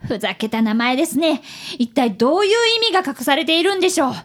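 A woman's voice: high voice, expressive, fast talker. A woman voicing a polite, earnest fifth-grade boy who is a detective's apprentice in a radio drama. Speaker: narrator and child detective. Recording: radio studio.

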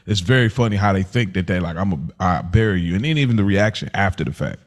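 A man's voice: low voice, lively intonation, fast talker. A man hosting a podcast, casually reviewing television in an informal, slangy way. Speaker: podcast host.

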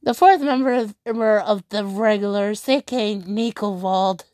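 A woman's voice: medium pitch, normal speed, darkish tone, no echo, clean studio sound. A woman chatting casually to her viewers, rambling and correcting herself as she goes.

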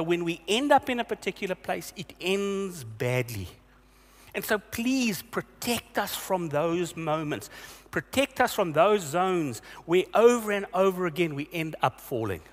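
A man's voice: high-pitched, fairly bright tone, big-room sound. A male pastor giving a sermon, earnest and questioning, repeating himself for emphasis.

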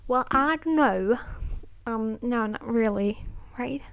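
A woman's voice: fairly high pitch, slow, creaky, smooth, no room sound, highs cut off. An eighteen-year-old woman hosting a casual solo podcast on camera. She rambles hesitantly with many "like" fillers and apologizes self-consciously. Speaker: podcast host.

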